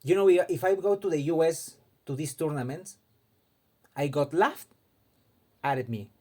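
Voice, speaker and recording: A man's voice: a little high, measured pace, warm tone. Impassioned man. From Mexico. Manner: impassioned, repeats words while searching for them. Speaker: fighting game commentator. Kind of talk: casual opinion monologue.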